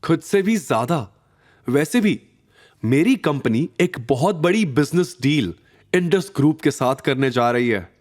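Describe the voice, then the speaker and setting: A man's voice: a little high, lively intonation, unhurried, a little mellow, voice pushed up. A man voicing a smug, boastful young rich suitor with a sneering manner in an audio drama.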